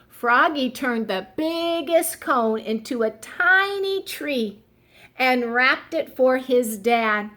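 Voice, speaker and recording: A woman reading a picture book aloud for young children in an expressive teacherly way, pausing to explain words simply. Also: sits high.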